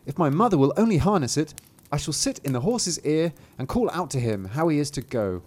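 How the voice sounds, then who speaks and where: A tiny, thumb-sized fairy-tale boy, played by a man reading aloud. The voice is pitched high and swings about, whiny and piping, with cheeky, eager confidence. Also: big pitch swings, a little fast, a little mellow. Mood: confident.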